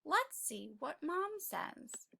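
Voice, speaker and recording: A woman's voice: sits high, very animated, very slow, creaky, sharp tone. A woman reading aloud to young children as a teacher would, expressively, stopping to prompt them with questions.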